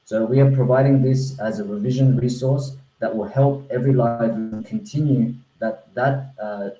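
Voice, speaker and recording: Mellow-voiced man, roomy echo. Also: mid-range voice, fairly even intonation, normal speed. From Australia. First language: Korean. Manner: earnest, with frequent restarts and fillers. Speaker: founder presenting a language-learning platform. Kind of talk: online webinar presentation. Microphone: video call.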